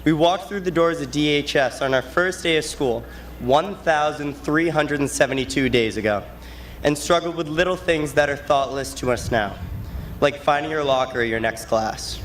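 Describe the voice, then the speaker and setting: A man's voice: a little high, normal speed, a little hiss or hum, low-quality recording. A young man, giving a prepared graduation speech as a student. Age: late teens.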